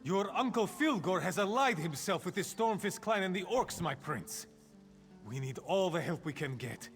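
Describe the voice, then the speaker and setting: A man's voice: high voice, expressive, moderate pace, faint music behind. A man playing an earnest, exasperated loyal thane and royal advisor in a video game, speaking with weary concern. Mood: urgent, pleading. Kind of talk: video game character acting.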